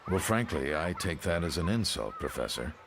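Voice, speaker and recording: A man's voice: a bass voice, sing-song, normal speed, background noise. A man playing an earnest, loyal follower in a motion comic, polite but questioning. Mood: earnest, respectful. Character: earnest, loyal young man challenging his mentor.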